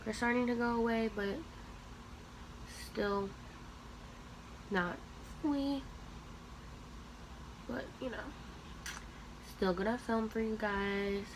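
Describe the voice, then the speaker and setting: Young woman, sniffly, unhurried makeup-tutorial chat, noisy home recording. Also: a little high. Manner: slow, chatty, talking while applying makeup. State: sniffly from allergies. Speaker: beauty vlogger.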